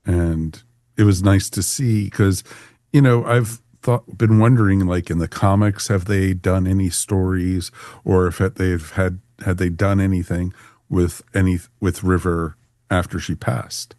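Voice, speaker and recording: A man's voice: low voice, steady tone, measured pace, darkish tone, no echo, studio quality. A man casually discussing and reviewing a story as a podcast host, in a conversational way peppered with "you know".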